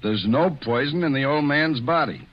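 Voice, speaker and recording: A man's voice: on the high side, normal speed, heavy background noise, close to an everyday voice. An actor playing a dutiful police sergeant, deferential but firm, reporting to his superior in a 1940s radio suspense drama. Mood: reporting, persistent. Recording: dull 1940s radio recording.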